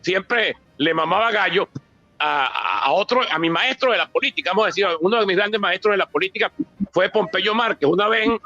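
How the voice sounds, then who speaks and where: A man's voice: high-pitched, fairly quick, sharp tone, dry recording, lo-fi sound, soft background music. A Venezuelan man giving an extemporaneous talk on history, emphatic and anecdotal, repeating phrases as he builds his account. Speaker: author and politician speaking at a book presentation.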